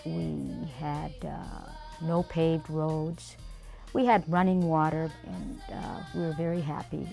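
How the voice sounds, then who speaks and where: Low-voiced woman from American Samoa. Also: steady tone, creaky, dark tone, faint music behind. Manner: measured, unhurried, pausing with fillers. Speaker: interviewee. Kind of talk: reminiscing interview.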